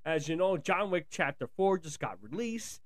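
A man's voice: a little high, big pitch swings, moderate pace. A man hosting a casual pop culture podcast, talking informally to his listeners. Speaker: podcast host.

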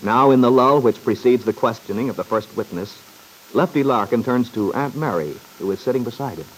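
A man narrating an old-time radio serial as announcer, with formal, measured scripted delivery. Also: medium pitch, slight background noise, rough recording.

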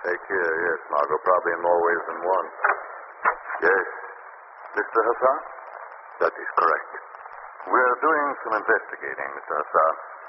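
An actor playing a calm, wry gentleman detective who speaks in a measured, dry way, in 1930s radio drama acting. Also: low voice. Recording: lo-fi noisy old radio recording.

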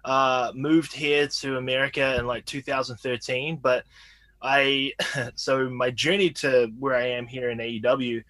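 A man in his thirties from New Zealand with a New Zealand accent, a guest chatting casually from home, laid-back and quick to laugh. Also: mid-range voice, flat delivery, bright, forceful tone. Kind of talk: casual interview chat.

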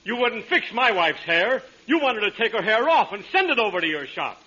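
Casting: aggrieved cop, very high-pitched, whiny and swooping, easily offended, vintage radio comedy. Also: male voice, sing-song, moderate pace, bright, forceful tone, heavily acted. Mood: aggrieved, sarcastic. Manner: whiny, sarcastic, twists every remark into an insult. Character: touchy, aggrieved, argumentative neighborhood policeman, easily offended. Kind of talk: 1940s radio comedy acting. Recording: dull, lo-fi 1940s radio recording.

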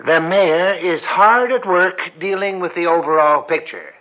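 An actor in an old radio drama playing a timid, dutiful assistant who is hesitant, stammering and easily flustered. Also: male voice, sits high, animated, measured pace. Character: timid, flustered, dutiful male small-town mayor's assistant. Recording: dull noisy vintage radio recording.

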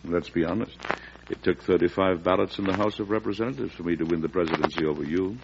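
An actor playing a measured, principled American president in an old radio drama, speaking frankly and deliberately. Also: male voice, low voice, gravelly. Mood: frank, firm. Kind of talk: 1940s-50s radio drama acting and narration. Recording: dull, noisy old radio recording.

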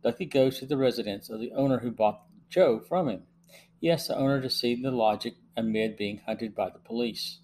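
A middle-aged man narrates a podcast review from notes. His pitch is average and his delivery measured. A faint hum sits behind the voice. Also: darkish tone. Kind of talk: scripted narration, plot summary and review. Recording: faint hum and background noise.

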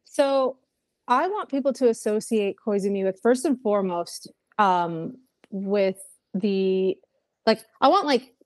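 A woman's voice: medium pitch, expressive, a little slow, dry recording. A young woman, a musician guest, chatting casually in an interview, rambling with lots of fillers and restarts.

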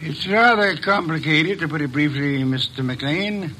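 An actor playing a middle-aged private investigator in a 1950s radio drama, speaking in a precise, measured, commanding way. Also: male voice, on the high side, sharp tone, slight background noise, low-quality recording. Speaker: detective.

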